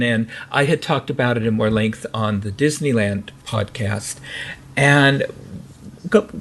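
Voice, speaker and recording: A man's voice: on the high side, a bit bright. A man with a General American accent co-hosting a podcast, chatting casually with lots of restarts and fillers. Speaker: podcast co-host.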